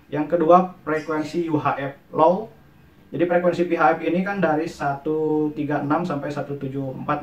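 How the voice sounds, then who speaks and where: Man, slightly high voice, halting casual shop talk, roomy echo. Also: dull audio. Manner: hesitant, many fillers, addresses viewers as friends. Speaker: shop owner reviewing walkie-talkies. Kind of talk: casual product talk to viewers. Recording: echoey room.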